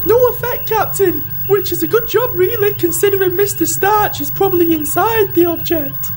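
Performer doing a high-pitched sardonic starship crew member. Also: normal speed. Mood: brisk, urgent. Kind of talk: comedy radio play acting. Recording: loud music behind the voice.

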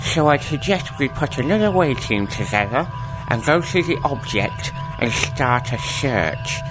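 An actor playing an earnest, officious starship doctor in a comedy radio play, speaking in a measured, formal way. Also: male voice, mid-range voice, very animated, low-quality recording. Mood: insistent. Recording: music behind the voice.

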